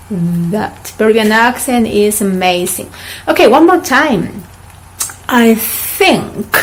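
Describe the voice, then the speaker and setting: A woman teaching English pronunciation, deliberately repeating words for learners to copy. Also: on the high side, poor audio. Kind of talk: pronunciation drill for students.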